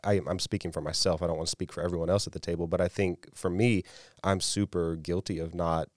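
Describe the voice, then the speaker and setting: A man in his twenties co-hosts a podcast in an earnest, rambling way. His voice is deep, and the recording sounds dry and close. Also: little pitch movement, quick pace, dry recording. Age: 20s. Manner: earnest, rambling, many restarts. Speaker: podcast co-host. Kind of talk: casual podcast conversation.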